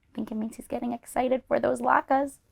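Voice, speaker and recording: A woman's voice: fairly high pitch, steady tone, fairly quick, vocal fry. A woman teacher narrating a children's story expressively, explaining it in simple asides as she goes. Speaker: teacher reading aloud.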